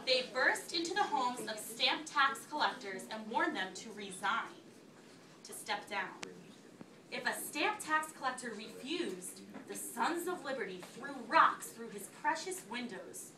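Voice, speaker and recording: A woman teacher reading aloud to a class in an instructive way, pausing to check understanding with questions. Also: a little high, animated, sharp tone. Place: school classroom. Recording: echoey classroom, distant mic, faint noise.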